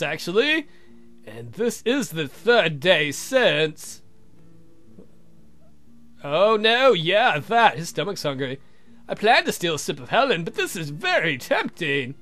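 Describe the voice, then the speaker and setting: A man's voice: sits high, a bit bright. A man hosting a let's play, reading a visual novel aloud and adding irreverent, crude joking commentary.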